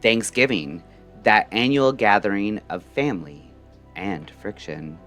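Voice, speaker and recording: A man delivering a scripted, introspective late-night radio monologue in measured, deliberate short phrases. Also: lowish voice, animated, a bit bright. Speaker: narrator and host of a late-night radio style audio show. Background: music.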